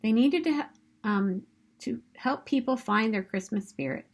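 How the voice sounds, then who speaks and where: A woman reading a children's picture book aloud in an expressive, emphatic storytelling manner. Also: a little high, measured pace.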